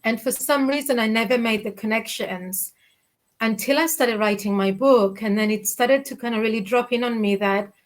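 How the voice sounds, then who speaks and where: Cypriot greek accented woman in her 40s, slightly high voice, thinking out loud on a webcam call from home. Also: moderate pace. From Cyprus. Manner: candid, reflective, many restarts and fillers. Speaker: guest, children's author. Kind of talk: interview over video call. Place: living room at home. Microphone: video call webcam.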